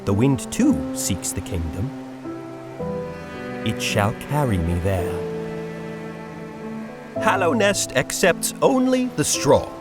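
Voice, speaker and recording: A man playing a solemn wandering bug warrior in a video game lore dub, speaking in a grave, poetic, halting way. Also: medium pitch.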